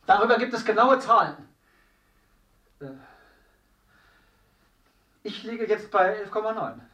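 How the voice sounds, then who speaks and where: A man's voice: high-pitched, animated, unhurried, bright, forceful tone, full character voice. A man acting in a radio play as a nervous, eager, self-absorbed actor, hesitant and apologetic. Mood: agitated, insistent. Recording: very echoey, distant from mic.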